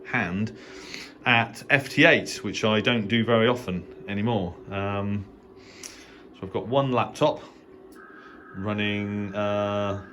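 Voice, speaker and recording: Deep-voiced Englishman, rambling over background noise. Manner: hesitant, understated, rambling delivery with trailing asides. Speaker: amateur radio hobbyist giving a tour. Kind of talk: casual unscripted video tour. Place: indoor home radio shack.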